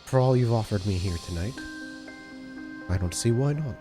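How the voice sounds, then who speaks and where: A man's voice: fairly low pitch, lively intonation, normal speed, music in the background, lightly acted. A man voicing an aloof female vampire sorcerer in a tabletop game, speaking formally and without humour. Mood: curt, businesslike. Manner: formal, terse, clipped courtesy.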